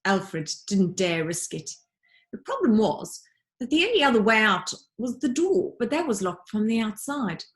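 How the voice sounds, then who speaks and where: A woman narrating a children's storybook like a teacher reading aloud, with dramatic, deliberate emphasis. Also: fairly high pitch, big pitch swings. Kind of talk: expressive children's audiobook narration.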